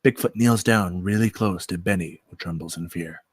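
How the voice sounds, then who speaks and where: A man's voice: low voice, moderate pace, dry recording. A man reading stage directions as scripted narration at a comedy screenplay table read.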